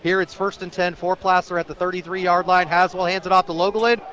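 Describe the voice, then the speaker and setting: A man with an American accent and a fairly high voice calls football live for radio. He describes each moment of the action as it unfolds, from outdoors at the stadium. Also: level tone, fast talker, sharp tone, highs cut off. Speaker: play-by-play sports announcer.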